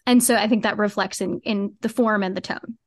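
A woman's voice: medium pitch, fairly even intonation, brisk, dry recording, studio quality. A woman giving earnest, reflective answers at length as a guest in a conversational podcast interview. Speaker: podcast guest, co-creator of a fiction podcast.

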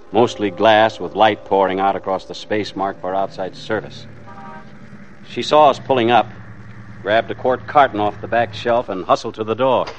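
A man acts out a weary middle-aged driver narrating his own peril in a vintage radio thriller. His voice is low and plain-spoken, tense and colloquial. Faint music plays behind him. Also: muffled recording, natural voice. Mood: wary. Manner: plain-spoken, hard-boiled colloquial delivery. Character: tense, weary everyman husband, held hostage while driving. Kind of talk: first-person radio thriller narration and dialogue.

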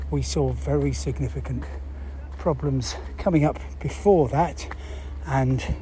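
A man with a mellow, relaxed voice talks listeners through a news story. His delivery is unscripted and halting, as he thinks aloud and asks his own questions before answering them. There is some noise behind him. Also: mid-range voice, rough recording. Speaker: presenter explaining news. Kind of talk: explanatory talk to listeners, unscripted. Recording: clear background noise.

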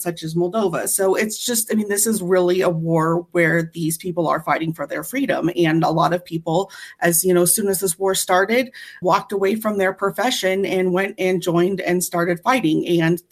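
A woman speaking as a guest in an earnest, conversational appeal. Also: on the low side. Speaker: guest, aid charity worker.

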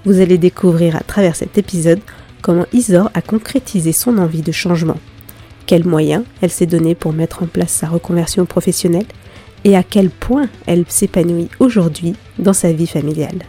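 A woman with a cold and a nasal voice, hosting a podcast and gently interviewing a guest. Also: fairly low pitch, moderate pace, husky, faint music behind. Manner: gentle, hesitant questions with fillers. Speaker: podcast host.

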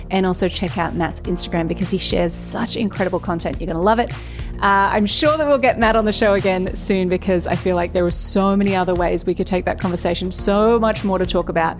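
A woman hosting a podcast, upbeat and encouraging as she thanks her guest and speaks to her listeners. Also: mid-range voice. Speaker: podcast host. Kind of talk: podcast interview and scripted outro.

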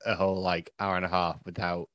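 A man's voice: low voice, flat delivery, fairly quick, fairly bright tone, no echo, clean studio sound. A man co-hosting a podcast, teasing and swearing through casual banter. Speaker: podcast co-host.

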